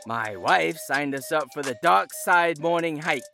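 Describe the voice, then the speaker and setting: A man's voice: on the high side, unhurried, gravelly, pushed and bright, full character voice. A man playing an indignant, petty tourist dad delivering a scathing, whiny comic review in an audio drama, with emphatic exasperation. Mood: scornful, indignant. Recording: music playing under the voice. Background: music.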